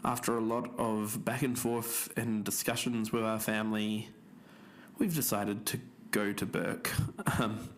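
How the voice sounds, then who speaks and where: A man's voice: fairly low pitch, steady tone, a little slow, lightly acted. A man playing an uneasy podcast co-host, speaking in conversational narration about an investigation. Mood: uneasy, candid.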